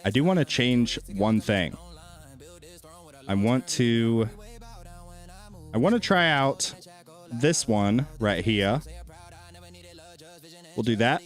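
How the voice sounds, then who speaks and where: A man's voice: medium pitch, lively intonation, takes it slowly, pauses often, faint music behind. A man streaming a game and chatting casually with viewers, laid-back and thinking aloud, with a soothing voice. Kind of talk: casual gameplay commentary with chat.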